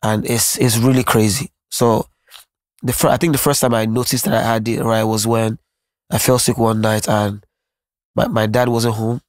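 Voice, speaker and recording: A man's voice: medium pitch, flat delivery, normal speed, dry recording. A man with a Nigerian accent talks in an earnest, reflective way, often circling back to restart his thoughts. His voice stays level with little rise and fall. The sound is dry and close, with no room around it. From Nigeria (Lagos). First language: Yoruba. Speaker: musician guest. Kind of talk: candid interview conversation.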